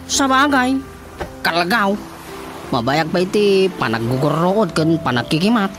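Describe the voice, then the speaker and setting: An actor playing a naive, earnest young son with an Ilocano accent in a radio comedy-drama. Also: male voice, high voice, big pitch swings, measured pace, noisy background. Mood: explaining. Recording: prominent music under the dialogue.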